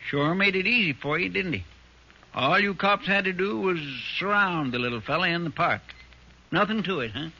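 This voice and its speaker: A smug, sarcastic shopkeeper. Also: male voice, sits high, animated, normal speed, pushed and bright, husky, heavily acted. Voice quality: sarcastic, folksy talk. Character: smug, nosy male shopkeeper. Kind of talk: 1940s radio drama acting. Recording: dull old radio broadcast.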